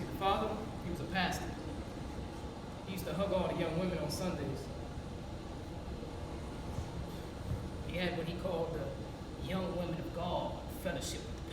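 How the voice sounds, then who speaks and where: An actress playing a wounded, frank, streetwise homeless young woman in a plainspoken, profane stage monologue with dramatic pauses. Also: on the low side, lightly acted. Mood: reflective, bitter. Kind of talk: theatrical monologue in a one-act stage play. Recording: echoey hall, far-off mic, background noise.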